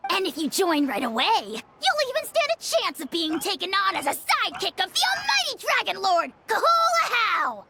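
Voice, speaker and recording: Brief: a loud, gravelly, boastful hero fan. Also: female voice, extremely high pitch, huge pitch swings, moderate pace, hardly any pauses, noisy background, full character voice. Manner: boastful, easily flustered. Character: boastful, excitable young fan of a dragon hero. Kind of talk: video game voice acting.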